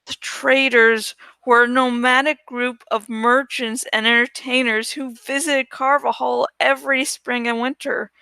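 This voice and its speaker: A woman's voice: on the high side, level tone, measured pace, vocal fry, few pauses, bright, forceful tone, clear, resonant tone, no echo, poor audio. A woman reading a story aloud as scripted narration. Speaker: audiobook-style reader.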